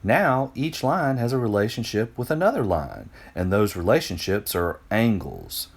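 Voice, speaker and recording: A man's voice: lowish voice, big pitch swings, moderate pace, vocal fry, slightly rough, exaggerated voice. A man voicing an eager ancient Greek geometry teacher in a children's storybook, explaining patiently and with emphasis. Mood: pleased, instructive.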